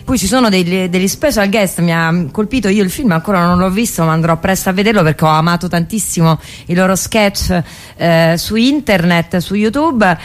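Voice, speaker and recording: Casting: woman radio co-host, lowish voice, informal and enthusiastic, conversational delivery. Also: moderate pace. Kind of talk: casual radio chat.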